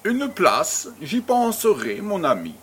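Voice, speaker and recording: Suave patronising baron played by a man, husky, very animated intonation, whimsical drawl. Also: high voice, slow pace, exaggerated voice. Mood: breezy, amused. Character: worldly male baron, suave, breezy and patronising. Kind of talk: French audio drama acting.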